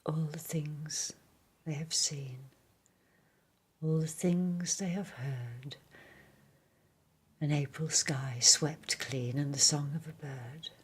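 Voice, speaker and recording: A woman reading poems aloud in a hushed, soothing whisper, as in ASMR. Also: sits low, fairly even intonation, slow pace, pauses often, husky. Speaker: reader.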